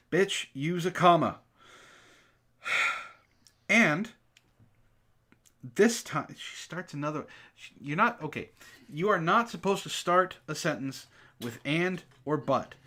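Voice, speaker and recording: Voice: medium pitch, fairly bright tone. An outspoken, exasperated host who swears freely, moving between casual banter and mocking dramatic reading. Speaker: book club show host reading aloud.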